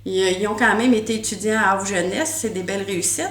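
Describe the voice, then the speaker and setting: A woman's voice: medium pitch, steady tone, fairly quick, a bit bright. A middle-aged woman with a Québécois French accent answers interview questions as a school board candidate, earnest and often restarting her words with "euh" fillers. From Quebec, Canada. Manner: earnest, repeats words while searching, many "euh" fillers. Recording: echoey room.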